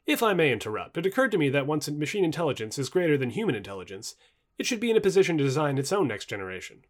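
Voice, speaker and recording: A man voicing a polite, reasoning Victorian gentleman naturalist in a book dialogue. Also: fairly high pitch, big pitch swings, rapid-fire.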